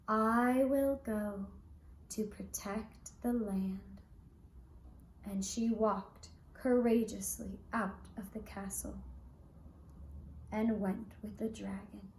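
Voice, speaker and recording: A woman narrating a children's fairy tale as a puppet show storyteller, deliberately, with long pauses between phrases. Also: on the high side, creaky, a little mellow, a little hiss or hum. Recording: roomy, echoey sound.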